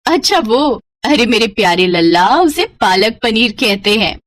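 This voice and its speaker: Female performer as anxious, loving young village wife and mother; cartoon tale, animated pitch. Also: measured pace, dry recording. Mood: doting, amused. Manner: affectionate, expressive. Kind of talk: cartoon story voice acting.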